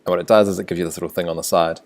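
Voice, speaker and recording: A man with a New Zealand accent, a teacher talking through a screencast tutorial in a relaxed, thinking-aloud way. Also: low voice, racing.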